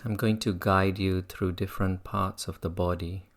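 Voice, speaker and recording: A meditation teacher gently guiding listeners through a practice in an unhurried way. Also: low-pitched, little pitch movement. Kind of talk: guided meditation talk.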